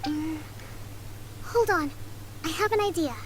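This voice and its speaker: A woman's voice: extremely high pitch, a little slow, very noisy. Composed young woman general. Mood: calm. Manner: calm and explanatory. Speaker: general and strategist.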